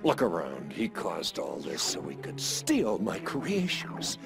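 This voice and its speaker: A man's voice: low-pitched, huge pitch swings, takes it slowly, creaky, full character voice. A man playing a proud, blustering middle-aged inventor in a cartoon game, pompous and emphatic. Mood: indignant, accusing. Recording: background music.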